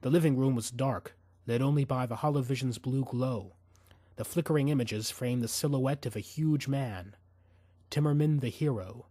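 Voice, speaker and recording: A man reading scripted fiction as an audiobook narrator, in an expressive, suspenseful storytelling manner. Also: mid-range voice, moderate pace, no room sound.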